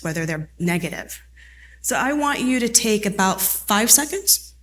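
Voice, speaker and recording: A woman's voice: medium pitch, fairly bright tone, a little hiss or hum. A woman in her thirties whose first languages are French and Haitian Creole, presenting a conference training session and often asking the audience questions. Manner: conversational, asks the audience questions. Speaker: workshop presenter.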